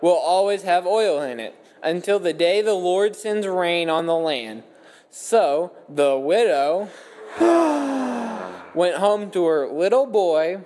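A man's voice: sits high, expressive, slow pace, a little mellow. A man reading a Bible story aloud from a script as a dramatic narrative. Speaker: scripture reader. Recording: echoey hall with long reverb.

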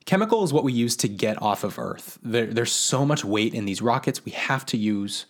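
A man at a mid pitch co-hosts a casual science podcast. He turns technical space news into plain talk and wraps up with friendly plugs to listeners. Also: expressive, normal speed. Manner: explains space news in plain terms, then friendly sign-off. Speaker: podcast co-host.

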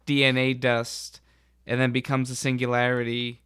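A man's voice: medium pitch, monotone, moderate pace, fairly bright tone, clean tone, dry recording, studio quality. A man on a podcast panel talking casually about films in loose, informal phrasing. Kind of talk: casual film discussion.

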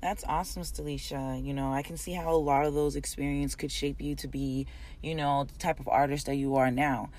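A woman's voice: low voice, steady tone, a little fast, darkish tone, a little hiss or hum. A woman hosting a podcast, upbeat and chatty, asking long rambling questions full of fillers. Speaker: podcast host. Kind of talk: casual podcast interview.

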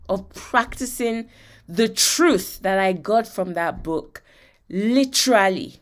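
Emphatic Nigerian woman teaching on faith. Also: medium pitch. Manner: emphatic, repeats phrases and checks in with listeners. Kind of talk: motivational faith teaching with personal testimony.

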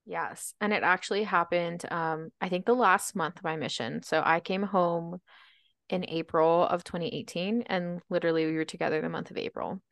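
A young woman co-hosts a casual podcast, telling stories in a chatty, upbeat way. Her voice sits a little low. The sound is dry and close, with no room around it. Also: steady tone, normal speed, creaky, fairly bright tone, no room sound. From Baltimore, Maryland. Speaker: podcast co-host. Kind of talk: casual chat.

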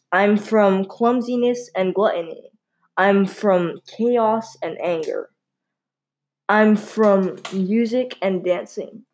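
A teenage girl reading her own poem aloud carefully. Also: mid-range voice. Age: teens. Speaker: student.

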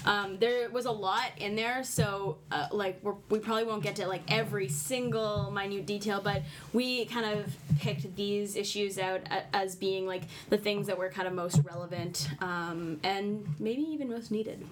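A woman's voice: mid-range voice, fairly even intonation, moderate pace, hardly any pauses, a little hiss or hum. A woman hosting a casual round-table podcast, rambling and laughing, with lots of fillers. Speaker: podcast host. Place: indoors around a table with friends.

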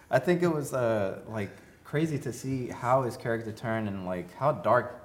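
A man co-hosting a podcast, chatting casually in halting, slangy phrases. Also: mid-range voice. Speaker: podcast co-host. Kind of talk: casual chat.

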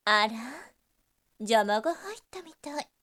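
Slow, high-voiced seductive succubus. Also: female voice, expressive, fairly bright tone. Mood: teasing, enticing. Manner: slow, coaxing, flirtatious, doting. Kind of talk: Japanese situation voice drama acting.

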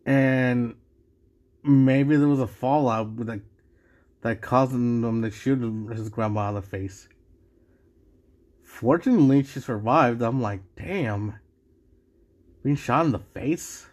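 A man's voice: mid-range voice, takes it slowly, pauses often, a little mellow. A man from Mexico hosting a solo podcast, talking casually in a rambling way with many restarts and asides. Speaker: podcast host.

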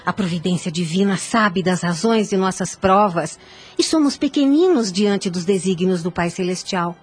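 Woman playing a husky, devout young noblewoman. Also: medium pitch, normal speed, close to an everyday voice. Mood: worried, devout. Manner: earnest, formal, pleading. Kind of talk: period radio drama acting. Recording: music under the voice. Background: background music.

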